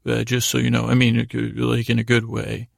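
A man with a creaky, gravelly voice hosting a bedtime podcast, giving a meandering, halting monologue that trails off and interrupts itself. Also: mid-range voice, completely flat delivery, no echo, studio quality. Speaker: podcast host. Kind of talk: rambling bedtime monologue to help listeners sleep.

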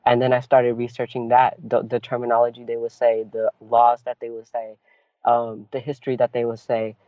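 A man from California, a poet and activist, telling his story as an interview guest in an animated, casual way, often saying "like" and "right?". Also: medium pitch, normal speed, no echo. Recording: tinny phone-like call audio.

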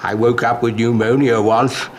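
A man's voice: medium pitch, level tone, normal speed, slight background noise, lightly acted. An actor playing an ageing, witty British wartime statesman with a ponderous, dry and grumbling delivery. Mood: dryly witty. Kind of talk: dramatised documentary scene.

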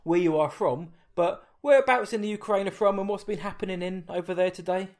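Host: Essex man, a touch high-voiced, chatty, rambles and corrects himself mid-question. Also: brisk. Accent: Essex English. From England. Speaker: podcast host. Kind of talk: casual interview chat.

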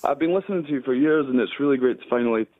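A man's voice: medium pitch, racing. Scottish man on a tinny phone line. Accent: Scottish, Ayrshire. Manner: earnest, hesitant with many "uh"s. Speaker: radio caller.

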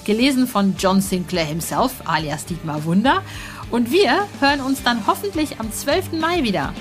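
A woman hosting a podcast, chatting and presenting in an informal, enthusiastic manner. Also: mid-range voice. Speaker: podcast host.

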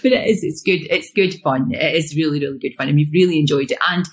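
A woman's voice: deep voice, quick pace, husky. A woman in her sixties with a Glasgow accent, a guest chatting casually and laughing often. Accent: Glaswegian. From Scotland. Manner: animated, laughing, exclamatory. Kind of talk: casual chat.

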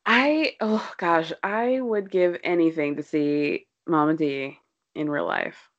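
A woman's voice: low voice, takes it slowly, highs cut off. A young woman co-hosting a podcast, who talks bluntly and casually and laughs often. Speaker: podcast co-host. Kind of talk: casual chat.

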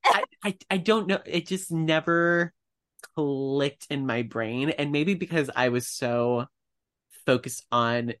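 A male podcast co-host with a mid-pitched voice chats in a very informal way. He is self-deprecating and tends to act out his own thoughts as little quotes. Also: fairly bright tone. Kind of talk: casual chat.

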